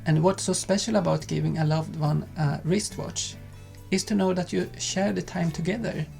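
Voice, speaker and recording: A man co-hosting a lifestyle vlog, speaking calmly and unhurriedly. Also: on the high side, normal speed, creaky, soft background music. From Sweden. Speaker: vlog co-host. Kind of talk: casual chat and sponsor read.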